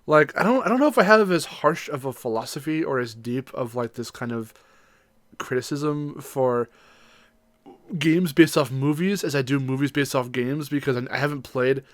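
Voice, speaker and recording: A man's voice: medium pitch, no room sound. A man co-hosting a podcast in a casual, rambling way, often hesitantly rephrasing his thoughts. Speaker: podcast co-host.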